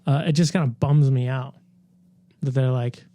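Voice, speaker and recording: Mellow guy on a podcast, slightly high voice, slangy and casual, really dry sound. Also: fairly even intonation, moderate pace, no echo. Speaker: podcast co-host. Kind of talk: casual chat.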